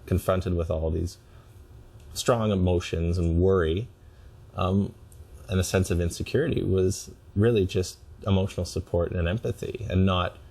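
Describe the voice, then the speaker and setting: A man in couples therapy talking reflectively, in an analytical, articulate way with measured wording. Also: sits low, fairly even intonation, vocal fry, darkish tone, slightly rough. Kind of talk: reflective conversation.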